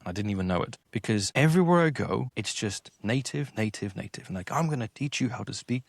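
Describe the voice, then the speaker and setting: A young man from Slovenia, a native Slovenian speaker, talking animatedly as an English accent coach in an interview, slipping into quick acted-out quotes. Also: fairly low pitch, lively intonation, creaky, no room sound. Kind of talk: casual interview talk.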